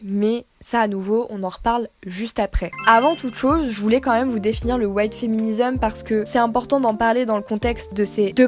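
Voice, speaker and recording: A woman's voice: on the high side, a little mellow. A young woman hosting an explanatory video, talking casually with informal asides and fillers. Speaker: video creator.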